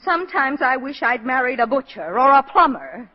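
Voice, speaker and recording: Nagging radio-play wife, high slightly husky voice, wry, muffled old recording. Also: female voice, big pitch swings, moderate pace. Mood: reproachful. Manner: reproachful, wry. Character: disapproving, gently nagging wife and mother. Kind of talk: 1940s radio acting. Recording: dull vintage 1940s radio recording.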